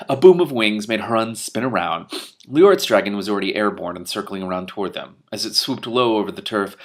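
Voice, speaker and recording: A man reading a fantasy story aloud expressively, stumbling and rereading at times, with off-the-cuff asides. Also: fairly low pitch. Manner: animated in dialogue, stumbles and rereads. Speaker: reader giving commentary on a book.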